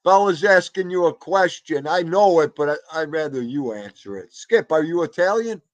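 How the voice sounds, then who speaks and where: A male podcast host who mostly keeps things moving with quick "right, right" replies and relays questions from viewers. His voice sits a little high and is recorded close and dry, with no room around it. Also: expressive, dry recording, highs cut off. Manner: encouraging, short interjections. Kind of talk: casual live podcast chat.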